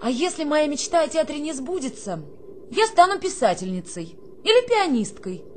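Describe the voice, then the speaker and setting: A woman's voice: high voice, sing-song, moderate pace, a little hiss or hum. An actress playing an affected young soldier who poses as a refined girl, coquettish and haughtily polite, in a theatrical radio play. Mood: grand, self-dramatizing.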